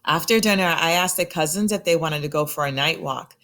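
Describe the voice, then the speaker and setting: A woman reading a children's picture book aloud in an expressive storytelling style. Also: sits low, fairly quick. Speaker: storybook reader.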